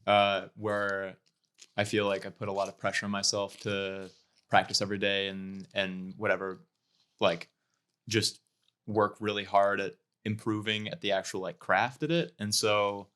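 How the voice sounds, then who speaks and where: A man with a lowish voice in casual conversation. He sounds like a podcast guest thinking things through aloud, reflective and wandering between half-finished thoughts. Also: flat delivery, unhurried, fairly bright tone, clear, resonant tone. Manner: reflective, meandering. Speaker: podcast guest, comedy writer. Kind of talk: casual chat.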